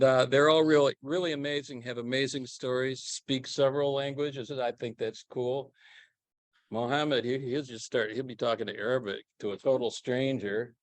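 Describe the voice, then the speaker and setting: A man's voice: mid-range voice, no echo. An older man speaking on a panel as a former minister, in a rambling, self-deprecating way. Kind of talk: panel discussion. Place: event hall.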